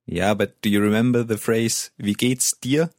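A man's voice: lowish voice, fairly even intonation, moderate pace, a little mellow, no room sound, clean studio sound. Man, brief tutor. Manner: brief, corrective, encouraging tutor. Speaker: German language co-host.

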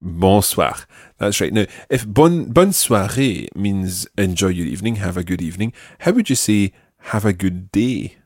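Low-voiced male language teacher with lively intonation. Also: normal speed, no echo, studio quality. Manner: patient, instructive, carefully enunciated. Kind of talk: spoken language lesson, prompting and repeating phrases.

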